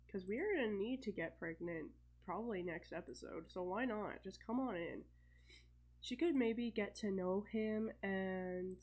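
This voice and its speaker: A young woman with a stuffed-up cold, casually commentating her gameplay in a chatty, think-aloud way with fillers and soft laughs. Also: mid-range voice. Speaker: let's play host.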